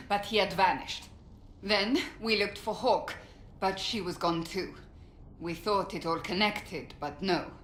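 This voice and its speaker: A woman's voice: fairly low pitch, lightly acted. Actress doing intense zealot warrior lady in a game, accusing, roomy echo, bit of noise. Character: fierce, zealous female warrior, betrayed and accusing. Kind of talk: video game character acting.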